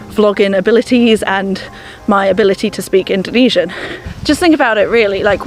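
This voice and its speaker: A young woman talking to camera in a casual vlog, chatty and self-mocking. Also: medium pitch. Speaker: vlogger and online English teacher.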